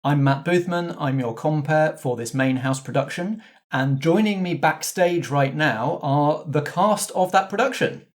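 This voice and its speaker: A man hosting a roleplaying podcast as game master, addressing the cast in a relaxed, organised way. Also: on the high side, measured pace. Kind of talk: casual backstage chat after scripted intro.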